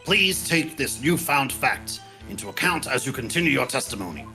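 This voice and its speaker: Man as grandfatherly old judge for game fan dub, solemn and pompous, gravelly, big swings in pitch. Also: sing-song, bright, forceful tone, soft background music, heavily acted. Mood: solemn, deliberating. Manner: pompous, deliberate courtroom pronouncements. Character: elderly grandfatherly male judge, solemn yet easily impressed.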